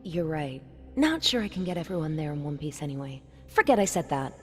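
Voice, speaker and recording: A woman's voice: deep voice, big pitch swings, fairly quick. A young woman voicing an untested, eager telepath guide in a video game, informal and self-deprecating. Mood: awkward, rueful. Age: young adult. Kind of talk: game cutscene acting.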